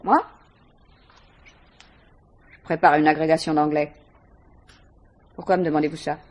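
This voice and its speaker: An actress playing a guarded, disdainful young student, curt and defensive, in a 1950s French radio mystery. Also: female voice, low-pitched, level tone, measured pace, a little mellow, lo-fi sound.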